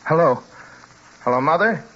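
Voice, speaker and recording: A man playing a frightened, haunted young traveler in a 1940s radio drama, speaking with halting, dramatic intensity. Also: on the high side, lively intonation, pushed and bright. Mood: panicked. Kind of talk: 1940s radio drama acting, first-person narration. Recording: muffled, noisy old radio recording.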